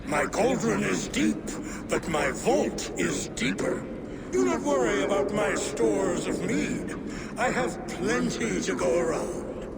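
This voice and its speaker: An actor playing a boastful, genial old giant lord who speaks in a grand, formal, theatrical way for a video game. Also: male voice, sits high, lively intonation, slow pace, creaky, very noisy, full character voice. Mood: amused, boastful.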